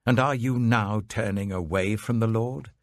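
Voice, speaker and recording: A man voicing an indignant Israelite envoy in a scripture reading, delivering forceful, accusatory oratory. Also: lowish voice, moderate pace, dry recording, voice pushed up, lightly acted. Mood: stern, indignant.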